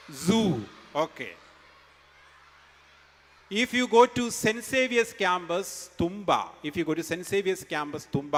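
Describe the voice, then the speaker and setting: High-voiced Indian man in echoing hall. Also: a bit bright. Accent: Indian English. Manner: emphatic, slow storyteller who quizzes the students. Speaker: school speaker at an assembly. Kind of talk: morning assembly speech with storytelling. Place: large school hall.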